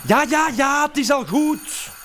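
A man's voice: extremely high pitch, a little slow, pushed and bright, slight background noise, heavily acted. An actor with a Flemish accent playing a flustered, indignant father in a radio comedy, stammering and repeating himself. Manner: stammering, repetitive, colloquial. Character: flustered, indignant father splitting up with his partner. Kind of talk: naturalistic radio comedy acting.

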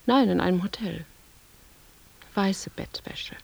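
A woman's voice: medium pitch, slow pace, gravelly, darkish tone, exaggerated voice. An actress playing an anxious, withdrawn woman who broods in terse fragments, sometimes dropping toward a whisper, in a literary radio drama. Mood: brooding. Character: anxious, withdrawn younger woman lost in inner thoughts.

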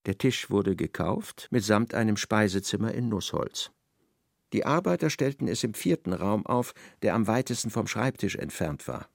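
A man with a low, creaky voice narrating a literary radio drama. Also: animated, normal speed, dry recording, clean studio sound.

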